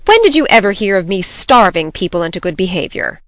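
A woman playing a stern, no-nonsense farm woman in a storybook, crisp and firm. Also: a little high, sing-song, moderate pace, a bit bright, dry recording, heavily acted. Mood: indignant. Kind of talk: audiobook character acting.

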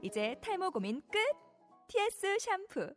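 A woman reads an advertisement with a very high, lively voice. She gives each selling point a punchy push. Clear music plays under her voice. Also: measured pace. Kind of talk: upbeat radio ad read.

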